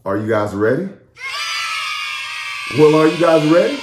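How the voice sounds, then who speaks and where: Male kids' read-aloud host: unhurried, very expressive, playful, talks directly to the child audience. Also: a little high, reverberant, shouting, background noise. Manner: playful, addresses listeners directly with questions. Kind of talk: animated children's read-aloud and casual chat to young viewers.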